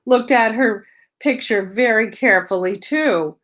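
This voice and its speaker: A woman's voice: on the high side, a little slow, fairly bright tone. A woman teacher leading a read-aloud lesson for young children, explaining simply with repetition and posing questions she answers herself.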